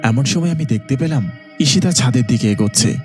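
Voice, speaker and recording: A man's voice: medium pitch, animated, brisk, faint music behind. A man narrating a scripted horror story as its storyteller.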